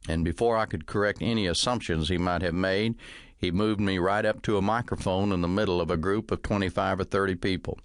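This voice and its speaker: A man reading a first-person comic novel as audiobook narration, in a measured, dryly humorous storytelling manner. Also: low voice, fairly even intonation, no echo, clean studio sound.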